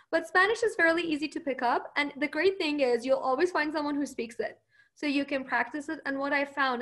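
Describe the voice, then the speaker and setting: High voiced woman, persian is her first language, sure of herself, laughs a lot while answering interview questions. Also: a bit bright. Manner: confident, laughs often.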